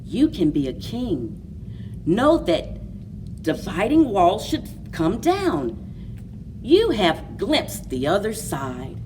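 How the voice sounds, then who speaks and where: A woman leads a story time for young children in an expressive, very slow delivery. Her voice sits a little low but swings widely in pitch. She is picked up from some way off the microphone. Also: distant mic, a little hiss or hum. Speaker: library story time host. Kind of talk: children's picture book read-aloud and story time.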